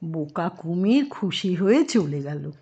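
Slow-paced grandmotherly woman storyteller. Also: on the low side, big pitch swings, mellow, breathy edge, highs cut off. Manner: grandmotherly, explains words, questions listeners. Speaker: children's storyteller. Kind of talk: storytelling to young children.

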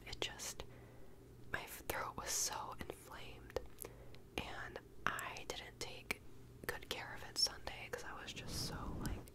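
A young woman whispering a casual, rambling ASMR check-in with a stuffed-up nose, in a small bedroom on a close microphone. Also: low-quality recording. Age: young adult. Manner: rambling, casual, many fillers. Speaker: ASMR creator.

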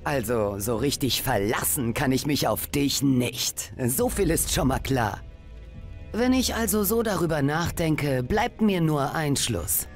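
An actor playing a blunt, judgmental former comrade in a video game, curt and reproachful. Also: male voice, on the high side, animated, unhurried, faint music behind. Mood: grudging. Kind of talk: dramatic video game acting.

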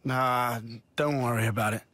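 An actor with a British accent playing a hot-headed, brash young soldier in a video game. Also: male voice, mid-range voice, fairly even intonation, normal speed, pushed and bright, dry recording, lightly acted. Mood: gruff, easygoing.

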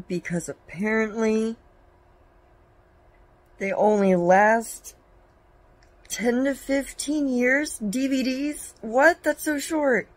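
A young woman vlogging casually at home, chatty and bubbly with a self-mocking streak. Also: mid-range voice. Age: young adult. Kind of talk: casual vlog chat. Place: living room at home. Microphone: phone camera at arm's length.

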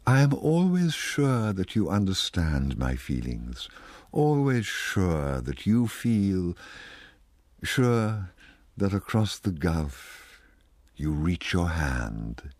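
A man voicing a wistful, insistent ageing society lady from a poem, halting and yearning. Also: low voice, big pitch swings, a little mellow, dry recording, voice pushed up, heavily acted. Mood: plaintive, resigned.

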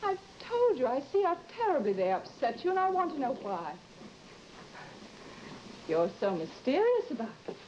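An actress playing a worried, insistent wife in a vintage broadcast drama, speaking in an earnest, pressing, emotional way. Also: female voice, high voice, very animated, normal speed, long pauses, mellow. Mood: troubled, pressing. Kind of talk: vintage mid-century broadcast drama acting. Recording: dull, noisy vintage broadcast recording.

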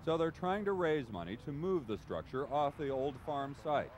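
A man's voice: fairly high pitch, sing-song, moderate pace. A man narrating a scripted television news feature as a reporter.

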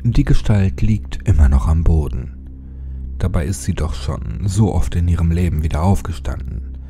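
A man narrating a scripted horror story in a dramatic, suspenseful manner with deliberate phrasing. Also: low-pitched, lively intonation, mellow, soft background music.

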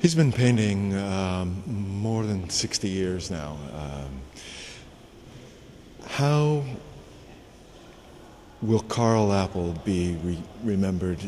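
A man interviewing a curator in a museum, asking measured, unhurried questions. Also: deep voice, expressive, warm tone, slight background noise. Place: museum gallery indoors.

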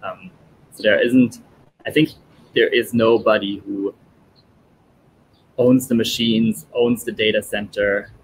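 A man's voice: on the low side, slight background noise, rough recording. A man in his thirties, born in Italy and raised in German-speaking Europe, answering interview questions thoughtfully over a video call with many fillers. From born in Italy, grew up in German-speaking Europe. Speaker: guest. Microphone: headphones on video call.

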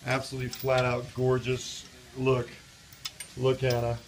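A middle-aged man with a hoarse, fading voice, giving an enthusiastic, chatty update on a car project in his workshop, filmed on a handheld phone. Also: mid-range voice, completely flat delivery, a little slow, slight background noise. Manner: enthusiastic, chatty, addresses viewers as guys. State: losing his voice. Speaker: restoration shop owner, vlog host. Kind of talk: casual shop-floor video update. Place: indoor car restoration workshop. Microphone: handheld phone camera.

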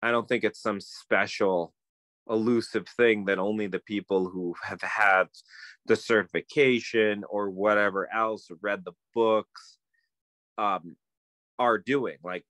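A man's voice: fairly low pitch, fairly even intonation, a little slow, fairly bright tone, dry recording. A middle-aged man talking casually on a phone video call from a room at home, thoughtful and meandering, with many fillers and restarts. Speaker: guest, permaculturist. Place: home room with bookshelves, indoors. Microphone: phone video call, close up.

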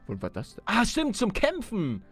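A man's voice: high-pitched, wildly swooping pitch, moderate pace, breathy edge. A man giving casual, slangy gameplay commentary, chatting and exclaiming as he plays. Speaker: let's player. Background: background music.

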